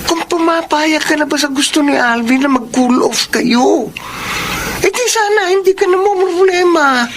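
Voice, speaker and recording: An actress playing a blunt, exasperated young Filipina best friend giving scolding advice in a radio drama. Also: female voice, high voice, a little slow, a little hiss or hum. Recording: crowd chatter behind voice.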